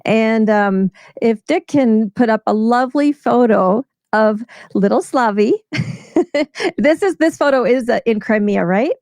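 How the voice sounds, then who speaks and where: A woman hosting a casual livestream interview, chatty and halting, with frequent fillers and little laughs. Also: fairly high pitch, darkish tone, no room sound, studio quality. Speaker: host.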